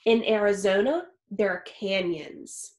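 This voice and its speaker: A woman in her thirties reading a picture book aloud like a teacher, expressively and with deliberate phrasing for young listeners. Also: medium pitch, darkish tone. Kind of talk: expressive children's read-aloud. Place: small home office or study with wooden shelves. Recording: roomy, echoey indoor sound.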